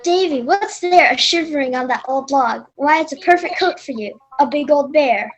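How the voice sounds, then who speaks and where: A woman's voice: high-pitched, moderate pace, natural voice. A woman playing an eager, folksy hunting sidekick in a children's readers theater piece, declaiming the lines.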